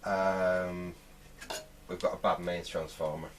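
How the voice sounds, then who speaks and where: A man giving unscripted hands-on repair commentary, thinking aloud in a halting way as he works. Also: sits low, level tone, distant mic, slight background noise. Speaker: host of a vintage radio repair channel.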